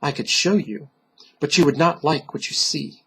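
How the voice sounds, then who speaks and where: A male narrator voicing a wicked man in a dark fantasy story, measured and husky, with a falsely tender manner. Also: on the high side, exaggerated voice, low-quality recording. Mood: menacing.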